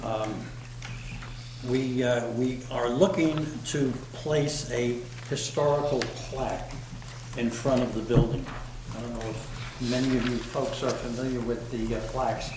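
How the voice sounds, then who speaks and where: A man from the local historical society presenting to a town board in a meeting room, speaking loud without a microphone. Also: medium pitch, fairly even intonation, measured pace. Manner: loud, unamplified voice. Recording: echoey room with background noise.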